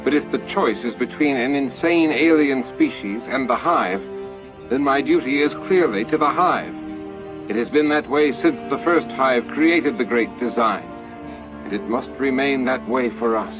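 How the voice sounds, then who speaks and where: A man's voice: mid-range voice, moderate pace, dull audio, over music, pitched above the performer's own voice, lightly acted. A man voicing a proud, defensive alien hive leader in an audiobook, speaking formally and earnestly. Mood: pained, defensive. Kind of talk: audiobook character dialogue.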